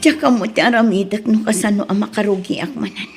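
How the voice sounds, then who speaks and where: A woman's voice: mid-range voice, fast, over loud music, close to an everyday voice. A woman playing a worried, debt-burdened mother in an Ilocano drama, with an Ilocano accent and a plaintive, sighing delivery. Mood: anxious, burdened. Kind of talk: Ilocano radio drama acting.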